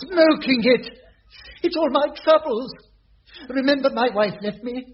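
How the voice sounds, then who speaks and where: An actor playing a pompous, nervy, bewildered boss in a radio sitcom. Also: male voice, extremely high pitch, a little slow. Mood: frayed. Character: exasperated, nervy male boss of caretaker. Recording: dull, noisy vintage radio recording.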